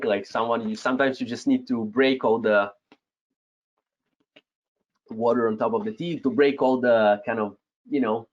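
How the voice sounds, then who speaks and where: A man in his thirties whose first language is Russian, teaching a live webinar with a Russian accent. He talks straight to the chat, tags his points with "yes?" and chuckles now and then. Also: medium pitch. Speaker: webinar host, Amazon seller coach. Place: home room, indoors. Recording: slightly dull lo-fi webcam audio.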